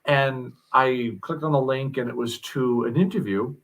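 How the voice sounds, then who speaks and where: A man who is a guest on a talk show, explaining things thoughtfully with many pauses and fillers. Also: medium pitch, a bit bright. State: mild headache. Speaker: guest commentator. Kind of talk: casual discussion.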